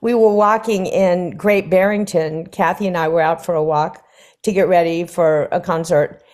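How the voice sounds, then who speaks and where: An older woman, a singer, chatting over a video call from her living room and telling lively stories with many fillers. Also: on the low side, normal speed. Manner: animated storyteller, many fillers. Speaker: guest singer. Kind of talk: casual conversation.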